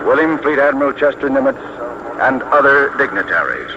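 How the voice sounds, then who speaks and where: A noisy, muffled 1940s radio broadcast carries an American man reporting live from outdoors. His voice is fairly high, and he announces in a formal, measured way, phrasing each detail deliberately as a correspondent on the scene. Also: big pitch swings. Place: outdoors on a warship deck. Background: loud noise, aircraft drone.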